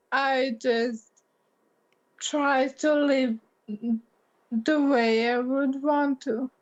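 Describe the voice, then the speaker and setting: A woman award winner answering interview questions hesitantly, with many pauses and fillers. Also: sits high, muffled recording.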